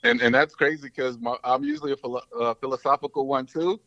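A man from Mississippi and New Orleans, a rapper talking loudly and casually in slang. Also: mid-range voice, very animated, quick pace, no room sound. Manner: loud, slangy, laid-back. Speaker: rapper guest. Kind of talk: casual interview chat. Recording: dull lo-fi dry sound.

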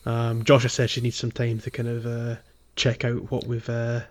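A man hosting a casual gaming podcast, recapping a playthrough in halting phrases with pauses to think. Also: mid-range voice, monotone, darkish tone. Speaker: podcast host.

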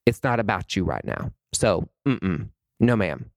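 A man's voice: low voice, very animated, measured pace, no room sound, clean studio sound. A young man giving a sassy, emphatic rant to the camera, calling people honey and sweetie. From American South. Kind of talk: impassioned direct-to-camera rant.

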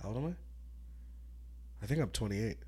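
A man's voice: lowish voice, normal speed, breathy edge. A man in his late twenties from California, hosting a casual podcast and joking around with lots of swearing. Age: 20s. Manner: profane, joking, animated. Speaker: podcast host.

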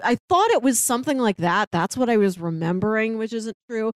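A woman's voice: on the high side, expressive, fairly quick, dry recording, studio quality. A woman co-hosting a casual film discussion, chatty and often laughing as she talks. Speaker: podcast co-host.

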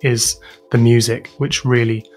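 A man's voice: mid-range voice, level tone, a little slow, soft background music. A British man giving casual interview answers as a guest, informal and hesitant as he thinks aloud. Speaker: interview guest, podcast sound designer and composer.

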